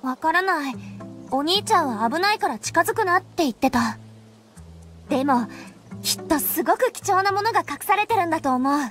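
Casting: chatty teen little sister, anime game, very high voice, animated delivery, music behind. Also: female voice, brisk. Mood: friendly, eager. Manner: lively, friendly, girlish. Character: chatty, eager teenage girl, a little sister. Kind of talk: Japanese anime game voice acting.

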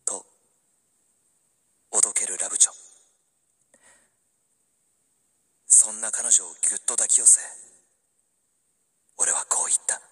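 A man playing a young narrator who tells his own love story in a radio drama, soft, measured and intimate. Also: fairly low pitch, fairly even intonation, husky, voice pushed down, exaggerated voice. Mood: tender. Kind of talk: scripted first-person radio drama narration.

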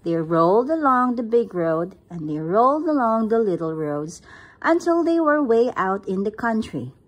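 A woman's voice: a little high, sing-song, moderate pace. A woman reading a picture book aloud at home, recorded on a phone camera above the book. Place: indoors, at home.

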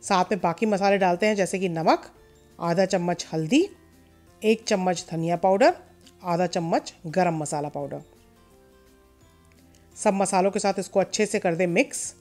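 A woman hosting a cooking channel, calmly talking viewers through a recipe step by step in a voice-over and mixing in English words. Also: on the low side, moderate pace, long pauses, soft background music.

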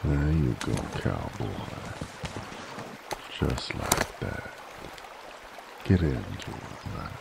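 A werewolf bounty hunter in an intimate roleplay ASMR. His voice is extremely low and thick with growling fry, and he drawls very slowly, seductive and menacing, teasing with full dominance. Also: animated, heavy background noise, full character voice. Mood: commanding, seductive. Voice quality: deep gravelly growl.

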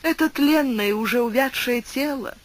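A man's voice: extremely high pitch, moderate pace, voice pushed up. Man putting on a coy young widow. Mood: coy pleading. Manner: pleading, coyly flattering.

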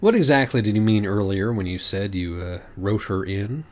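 A man voicing a calm, sarcastic, smug male cat in an audiobook. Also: lowish voice, normal speed, natural voice.

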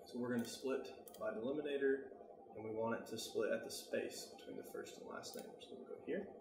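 An American man giving a casual software tutorial, talking informally and thinking aloud as he works. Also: mid-range voice, fairly quick, echoey room, noisy background. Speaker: tutorial presenter.

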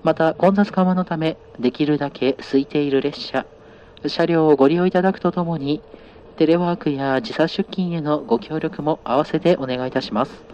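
A man's voice: on the high side, normal speed, a little mellow, muffled recording, a little hiss or hum. A man working as a train conductor, making formal, polite announcements to passengers over the train's PA.

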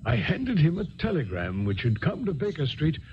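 An actor playing a loyal, eager doctor companion who narrates a 1970s radio drama in an earnest, attentive way. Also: male voice, a little high, wildly swooping pitch, moderate pace, lo-fi sound, slight background noise.

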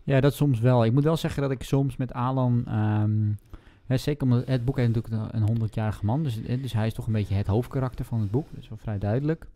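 A man's voice: mid-range voice, fairly even intonation, fast, hardly any pauses, warm tone. A man co-hosting a casual book discussion, thinking aloud in a tentative, mildly critical way. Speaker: book podcast host.

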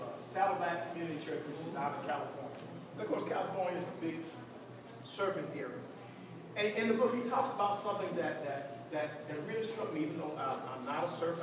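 Echoey, high-pitched male pastor teaching. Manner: emphatic, repeats phrases, seeks responses. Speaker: pastor leading a church workshop. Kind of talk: preaching-style teaching to a live group. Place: church meeting room with seated audience. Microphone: distant camera among the audience. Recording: echoey hall, distant mic, noisy room.

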